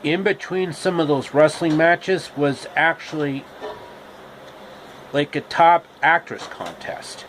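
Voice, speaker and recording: A man narrating a homemade story with deliberate, halting phrasing. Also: a little high, a bit bright, a little hiss or hum.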